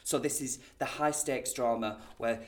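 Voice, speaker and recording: A man with a medium-pitched voice talks like a friendly acting coach. His delivery is chatty and instructive, with playful encouragement for a beginner. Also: a little slow. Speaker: pantomime dame performer, acting coach. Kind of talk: casual coaching chat.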